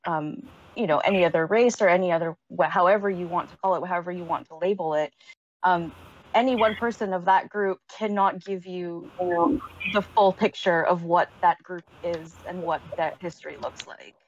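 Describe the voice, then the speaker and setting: A woman's voice: on the low side, normal speed, a little hiss or hum. A woman from Canada speaking on a casual panel discussion, hesitant, with many ums and restarts. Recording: dull lo-fi sound.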